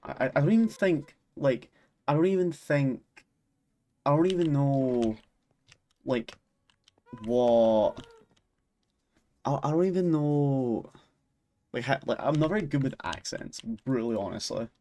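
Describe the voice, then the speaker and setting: A man's voice: mid-range voice, lively intonation, a little slow, pauses often, dark tone. A man with a Scottish accent, chatting casually on a stream, rambling and laughing often. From Scotland. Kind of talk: casual chat while gaming and reading chat.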